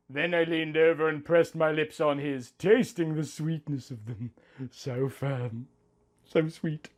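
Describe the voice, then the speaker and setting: A man's voice: a little high, creaky, a bit bright, full character voice. A man voicing a lovestruck young woman who narrates her honeymoon as a swooning, melodramatic romance story. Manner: swooning, overwrought. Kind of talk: melodramatic romantic fan fiction reading.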